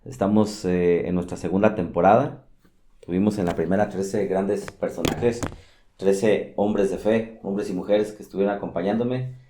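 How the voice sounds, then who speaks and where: Faith podcast host, male; low and mellow, echoey room sound. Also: fairly even intonation, moderate pace, slight background noise. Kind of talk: podcast interview hosting.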